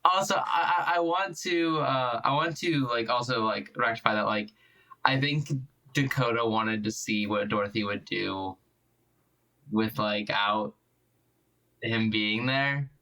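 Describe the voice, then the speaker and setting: A man playing a tabletop game, casually discussing it in a halting way, insistent on details. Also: medium pitch, pushed and bright, poor audio.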